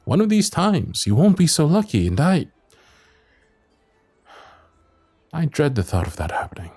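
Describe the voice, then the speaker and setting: A man's voice: fairly high pitch, fairly quick, no room sound, heavily acted. A man playing a loyal, protective royal knight with a wry, courtly manner in an ASMR fantasy audio roleplay. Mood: exasperated, earnest. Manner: teasing, courtly, expressive, addressing the listener.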